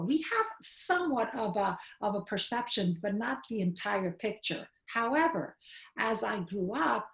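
A woman from Queens, New York City, giving measured, explanatory answers as an interview guest. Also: mid-range voice. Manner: measured, explanatory, slows for key points. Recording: roomy echo.

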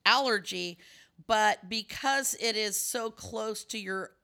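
A woman's voice: mid-range voice, takes it slowly, vocal fry, bright, forceful tone. A middle-aged woman with a scratchy voice, hosting a casual chat and explaining at length with many restarts and fillers.

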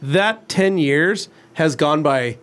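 Man in his forties, fairly high voice, reflective, fatherly, casual studio chat. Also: expressive, takes it slowly, a bit bright. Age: 40s. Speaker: co-host of detailing talk show.

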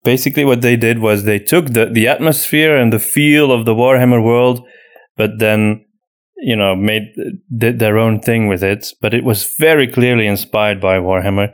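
A man's voice: mid-range voice, steady tone, normal speed. Casual man podcaster thinking aloud. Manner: hesitant, thinking aloud with frequent "you know". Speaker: podcast host and audio drama creator. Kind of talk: casual unscripted chat answering listener questions.

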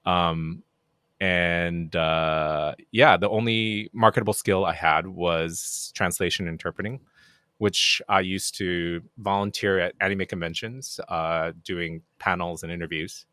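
A middle-aged man from Japan, a native Japanese speaker speaking English, chatting as a podcast guest in a relaxed, self-deprecating way with many fillers. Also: low voice, a little slow, dry recording, clean studio sound. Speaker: podcast guest, game producer. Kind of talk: casual interview chat.

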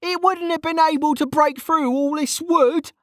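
A narrator voicing a hot-headed young male fire mage in a fantasy audiobook, indignant and protesting, pitched far up. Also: a little slow, sharp tone, no room sound, clean studio sound. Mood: outraged protest.

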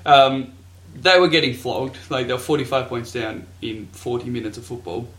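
A man's voice: medium pitch, fairly even intonation, normal speed, a bit bright. Man in an echoey room. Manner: joking, mock-grandiose. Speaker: podcast co-host. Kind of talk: casual sports podcast chat.

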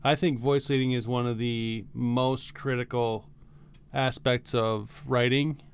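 A man's voice: medium pitch, little pitch movement, slow, clean tone. A man co-hosting a podcast, casually explaining things in a patient, teacherly way with examples. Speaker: podcast co-host, music arranger and teacher.

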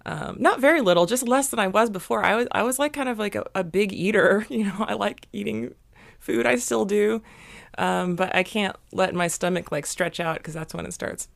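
A young woman with a hoarse, worn voice, co-hosting a casual podcast and talking haltingly, full of fillers and dry self-mocking humor. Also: mid-range voice. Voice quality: hoarse, gravelly. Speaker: podcast co-host.

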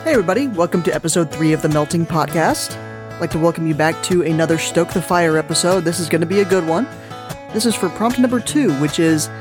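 A podcast host talking casually and with enthusiasm, introducing stories in an informal way. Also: mid-range voice. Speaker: podcast host and story reader. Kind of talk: casual podcast hosting and story reading.

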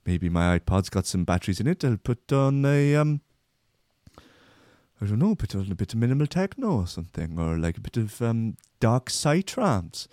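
A man voicing a cheerful young Welsh party-goer in a shaky, inconsistent Welsh accent, with rambling, halting, goofy enthusiasm. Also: on the low side, very animated, warm tone, no echo, studio quality. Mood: easygoing. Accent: attempted Welsh, inconsistent. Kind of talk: comedic impersonation.

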